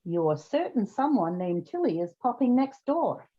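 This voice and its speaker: An actress playing a brisk, wry woman who runs an odd job agency in an old-time radio comedy. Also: medium pitch, very animated, husky. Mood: wry, businesslike. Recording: slightly dull, muffled sound.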